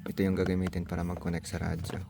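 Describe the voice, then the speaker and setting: A man's voice: deep voice, monotone, racing, vocal fry, loud music behind the voice. A Filipino man giving a casual hands-on gadget walkthrough, thinking aloud tentatively and mixing in English technical words. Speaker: vlogger.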